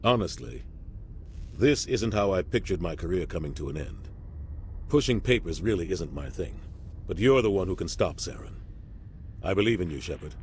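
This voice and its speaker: Give the captain a low, grave voice, middle-aged and dutiful, with weary honesty. He talks in an earnest, measured way, as in video game dialogue. Faint noise sits behind him. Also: expressive. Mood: regretful, resigned.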